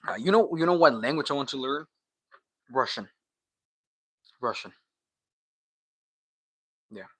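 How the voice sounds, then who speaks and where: A man's voice: medium pitch, lively intonation, unhurried, long pauses, fairly bright tone, no echo. A man from Guatemala, a native Spanish speaker, chatting casually on a livestream in a slangy, sweary, bro-heavy way.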